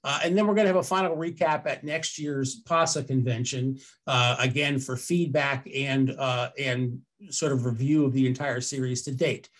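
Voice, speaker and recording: An older American man speaks at a middling pitch as he presents a webinar. He explains things conversationally through a headset on a video call, often rewording and correcting himself. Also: steady tone, normal speed.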